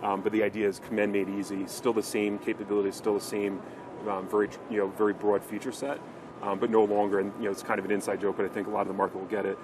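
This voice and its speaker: A man's voice: fairly low pitch, level tone, fast talker. A man giving earnest corporate sales talk as a company representative, in an informal business interview at a trade show. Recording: clear show-floor background noise.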